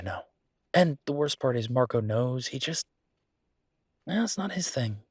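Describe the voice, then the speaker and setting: Guy playing a husky easygoing boyfriend who runs a restaurant, chatty and emphatic, roleplay audio, super dry close sound. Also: mid-range voice, no room sound. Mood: earnest, enthusiastic. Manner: chatty, animated asides, emphatic repetition. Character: werewolf boyfriend, passionate easygoing male restaurateur. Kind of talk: conversational one-sided roleplay audio drama.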